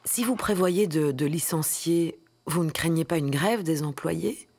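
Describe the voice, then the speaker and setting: An actress playing a naive, timid employee in a satirical radio drama, speaking haltingly and deferentially, as if asking permission. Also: female voice, sits low, darkish tone, slightly rough, exaggerated voice. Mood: hesitant, uneasy. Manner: hesitant, halting, deferential, questioning. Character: timid, naive female employee being coached.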